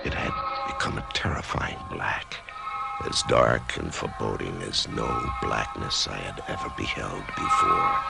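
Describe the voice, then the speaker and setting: An actor playing an author who narrates his own death in a whispery, hushed voice, stammering with wonder, in a radio drama. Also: rumbling low, gravelly, full character voice, low-quality recording. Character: dying male author, awed, curious. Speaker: narrator and lead.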